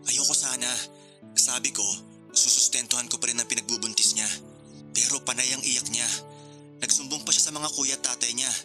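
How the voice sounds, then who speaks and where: Actor as conflicted young groom, medium-pitched, colloquial and hesitant, with clear music behind him. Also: male voice, fairly even intonation, a little fast, pauses often, close to an everyday voice. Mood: regretful, resigned. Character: young engaged man, joking yet hesitant and conflicted. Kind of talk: radio drama dialogue.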